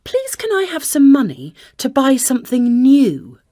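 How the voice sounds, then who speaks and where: An unhappy young girl voiced by a woman in a children's story. She pleads in a high, whiny voice that rises and falls freely. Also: huge pitch swings, a little slow, mellow, no echo, full character voice. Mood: pleading, unhappy. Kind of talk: children's audio story acting.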